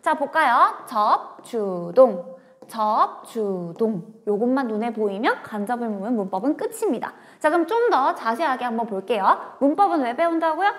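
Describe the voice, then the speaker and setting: A woman's voice: on the high side, expressive, moderate pace, in a large hall. A woman teaching a grammar lecture, talking straight to her students and moving from casual talk into polite speech.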